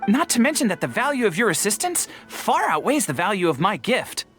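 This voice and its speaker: A high-energy male merchant, grateful and effusive, talking eagerly. His pitch leaps and swoops, with faint music underneath. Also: very animated, brisk, fairly bright tone. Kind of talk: game dialogue.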